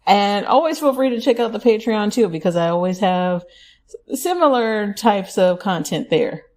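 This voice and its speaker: A woman's voice: mid-range voice, animated, normal speed, a little mellow. A young adult woman hosting her own affirmation podcast. She talks in a chatty, upbeat way with self-correcting asides, and her voice is a little scratchy from allergies. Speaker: podcast host.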